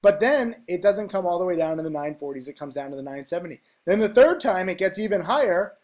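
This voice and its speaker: A man with a congested, stuffy nose talks through trading charts. His voice sits a little high, and he rambles in casual, emphatic bursts, checking in with "okay?" as he goes. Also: animated, quick pace, a little mellow. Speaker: stock trading educator. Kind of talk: casual screen-share chart walkthrough.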